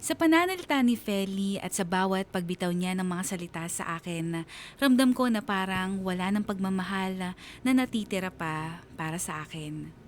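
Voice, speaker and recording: A man's voice: high voice, animated, moderate pace, a little hiss or hum. A man playing a sorrowful, abandoned husband narrating his story in a radio drama, with a Filipino accent. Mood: sorrowful, reflective.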